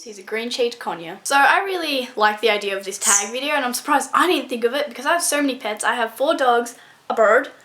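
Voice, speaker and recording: A young woman talks casually to her camera about books, her voice sitting a little on the high side. She rambles in a friendly, chatty way, padding her sentences with ums and likes. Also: a bit bright. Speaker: book vlogger.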